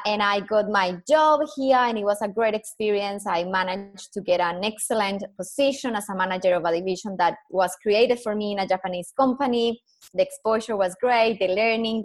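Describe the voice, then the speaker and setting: A woman from Colombia with a Colombian accent, a non-native English speaker, telling her story earnestly as a podcast guest. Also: mid-range voice. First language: Spanish. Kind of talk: podcast interview.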